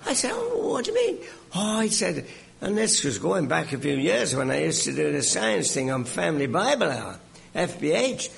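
Older male preacher, English-Canadian accent; high, gravelly, exclamatory storyteller. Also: normal speed. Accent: English mixed with Canadian. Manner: animated, exclamatory storytelling with repeated words. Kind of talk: sermon.

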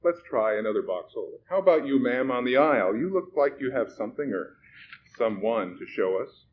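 A man voicing a bright, smiling young male emcee in a storybook reading, upbeat and encouraging with folksy presenter patter. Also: medium pitch, lively intonation, normal speed, natural voice. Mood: bright, chipper. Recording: muffled recording with background noise.

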